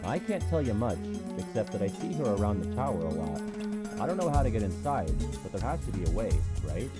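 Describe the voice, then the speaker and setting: A man's voice: fairly low pitch, very animated, fairly quick. A man playing a friendly, casual, helpful young male warrior in a video game fan dub. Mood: friendly, curious. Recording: loud music over the voice.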